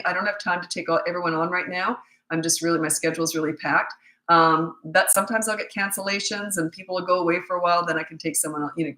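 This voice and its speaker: A woman's voice: lowish voice, a bit bright, roomy sound, low-quality recording. A woman in her sixties with a cold, her voice giving out, giving blunt, matter-of-fact parenting advice on a live stream. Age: 60s. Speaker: parenting coach.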